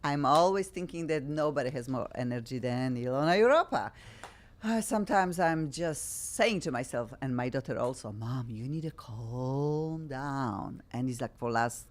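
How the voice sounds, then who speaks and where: A middle-aged woman from Poland with a Polish accent, hosting a talk show in an effusive, animated way. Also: very deep voice, moderate pace. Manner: effusive, bubbly, animated, frequent laughs. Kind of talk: excitable talk show chat.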